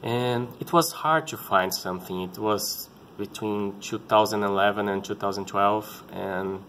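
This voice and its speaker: A man in his thirties with a Brazilian Portuguese accent, speaking English as a second language, telling his story in a halting and earnest way, often restarting to find words. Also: fairly low pitch, a bit bright, a little hiss or hum. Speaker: parent sharing his experience. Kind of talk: casual personal vlog talk.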